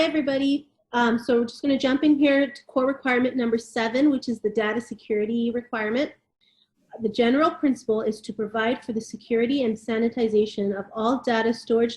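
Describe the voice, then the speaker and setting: A woman with a fairly high voice co-hosts an informal discussion, reading formal text and talking it over. Her voice rings with noticeable room echo. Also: a little mellow. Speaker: co-host reading out a standard. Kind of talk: read-aloud and informal discussion. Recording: echoey room.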